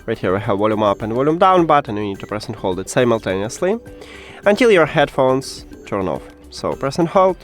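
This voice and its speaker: Man with lively pitch giving tech instructions, non-native English. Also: fairly quick, faint music behind. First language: not English. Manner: instructional, addresses the viewer directly. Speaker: tech tutorial presenter. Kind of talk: step-by-step how-to narration.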